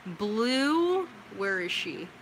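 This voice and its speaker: A young American woman from California hosting a casual livestream, reading out viewer comments and reacting in an informal, expressive, slangy way. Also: medium pitch, drawn-out words, a little hiss or hum, rough recording. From California, United States. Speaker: livestream host.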